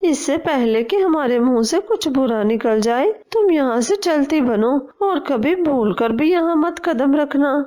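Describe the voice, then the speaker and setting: A woman's voice: high voice, animated, a little slow, hardly any pauses, dark tone, pitched above the performer's own voice. A woman voicing a greedy, harsh father bird in a cartoon, speaking in a blunt, scornful way. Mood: sneering.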